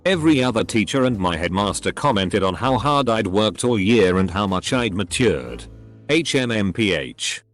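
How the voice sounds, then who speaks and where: A man narrates short anecdotes in a lowish voice whose pitch rises and falls a lot. He reads in a scripted way, spelling out abbreviations and even saying punctuation out loud. Soft background music runs quietly underneath. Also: moderate pace. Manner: reads punctuation and letters out literally. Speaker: narrator reading online posts. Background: faint background music.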